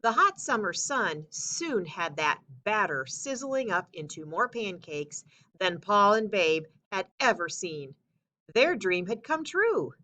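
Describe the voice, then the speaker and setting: A woman reading a children's storybook aloud as narrator, with expressive, emphatic phrasing. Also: mid-range voice. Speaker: story reader.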